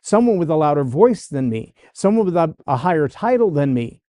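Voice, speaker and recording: A male podcast host delivers an emphatic monologue, piling up short parallel phrases to drive each point home. His voice sits a little high yet has a warm, mellow tone. The sound is dry and close, with no room in it. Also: big pitch swings, fairly quick, dry recording. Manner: emphatic, repeats phrases in building lists.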